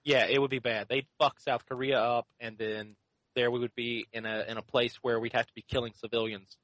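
A man with a mid-pitched voice argues his points assertively in a casual podcast chat. His mic sounds dry, with no room at all. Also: flat delivery, brisk, a bit bright, no room sound, low-quality recording. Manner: assertive, argumentative. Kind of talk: casual political debate.

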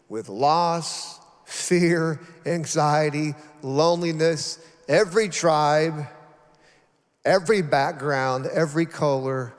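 A pastor addresses his congregation, a man with a slightly raised voice who speaks earnestly and emphatically. His sentences build into lists. Long reverb rings through a large hall around him. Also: on the high side.

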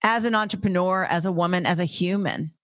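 A woman's voice: lowish voice, brisk, no echo, clean studio sound. A woman hosting a podcast interview in an upbeat, effusive, chatty way. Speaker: podcast host. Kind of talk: casual interview chat.

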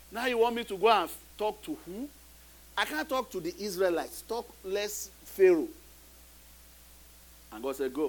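Man, middle-aged, pastor, non-native english speaker, high pitch, sermon, emphatic repetition. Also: rough recording. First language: not English. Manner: preaching with rising emphatic repetitions.

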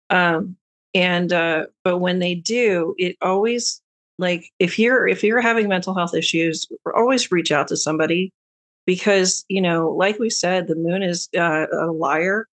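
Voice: medium pitch, a bit bright. A podcast co-host talking candidly and casually, with frequent "um"s and offhand swearing. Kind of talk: casual chat.

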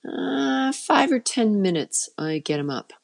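A middle-aged woman with a soft voice, a children's musician chatting casually in an interview and often trailing off and restarting. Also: fairly high pitch, big pitch swings, a little slow, creaky.

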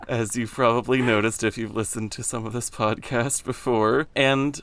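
Deep gravelly deadpan young man. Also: normal speed, no echo. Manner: deadpan, sarcastic, monotone. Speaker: podcast co-host. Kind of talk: casual chat.

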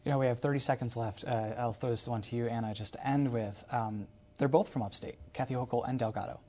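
A man hosting a political news program, interviewing guests in a conversational, measured way. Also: mid-range voice, steady tone, a little mellow, highs cut off. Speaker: news show host.

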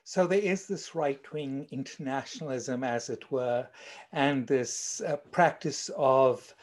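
A man with a South African accent, moderating a panel discussion in a measured, soft-spoken way. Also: mid-range voice.

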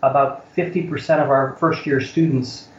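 A man's voice: mid-range voice, steady tone, normal speed, a little hiss or hum. Thoughtful American man, roomy recording. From United States. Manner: thoughtful, repeats words while searching for phrasing. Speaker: college instructor, interview guest. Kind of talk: reflective interview conversation. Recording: roomy, slightly dull recording.

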